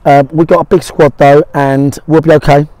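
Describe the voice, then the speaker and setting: A man's voice: a little high, little pitch movement, moderate pace, mellow, no room sound, rough recording. A middle-aged man giving casual post-match talk as a football manager, blunt and matey, repeating short phrases for emphasis. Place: outdoors on an empty football pitch by a small stand.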